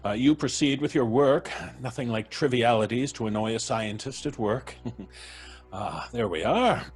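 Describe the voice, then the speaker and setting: An actor playing a genteel, moralising older professor in old-time radio horror style, husky, fussy and stammering. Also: male voice, medium pitch, moderate pace, natural voice. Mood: jovial.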